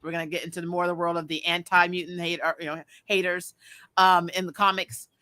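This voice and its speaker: Bright-voiced woman chatting as co-host. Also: on the low side, fast talker. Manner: animated storytelling, slangy, acts out quotes. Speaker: review show co-host. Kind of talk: casual chat.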